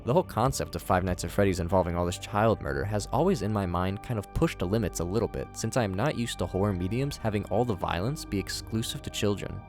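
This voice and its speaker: Low-voiced man narrating over music. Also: lively intonation, fast, few pauses, a little mellow. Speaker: host. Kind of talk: scripted video commentary. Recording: music under the voice. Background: background music.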